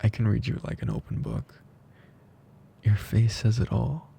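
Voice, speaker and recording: A tender, teasing male best friend played by a man in an intimate ASMR audio roleplay. He speaks slowly and softly, in a mellow, breathy voice that slips toward a whisper. A faint low rumble sits behind him. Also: steady tone. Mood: earnest, teasing. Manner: soft, breathy, slow, drifting into whispers.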